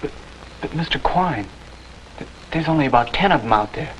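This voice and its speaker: An actor playing a nervous, deferential young soldier in an old TV western, hesitant and earnest. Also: male voice, fairly high pitch, expressive, normal speed, natural voice, rough recording. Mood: anxious, pleading. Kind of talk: mid-century American TV western acting. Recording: old TV soundtrack, faint noise, rain.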